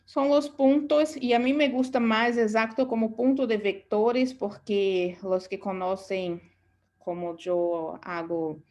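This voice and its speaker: A woman's voice: fairly high pitch, steady tone, takes it slowly, a little mellow. A woman from Brazil with a Brazilian Portuguese accent, a native Portuguese speaker giving an online lecture as an aesthetics instructor and searching for words in her second language.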